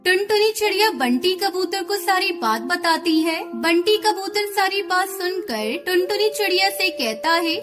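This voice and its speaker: Very high-pitched woman storyteller. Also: expressive, moderate pace, hardly any pauses, over music. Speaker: narrator. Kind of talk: scripted storybook narration.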